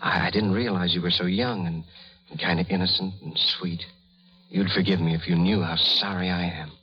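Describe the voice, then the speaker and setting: A man's voice: low-pitched, steady tone, moderate pace, soft background music, lightly acted. An actor playing an earnest, well-bred young rich man's son in an old radio drama, formal and educated, with a slight stammer. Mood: apologetic, flustered. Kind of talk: 1930s radio drama acting. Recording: muffled vintage radio broadcast.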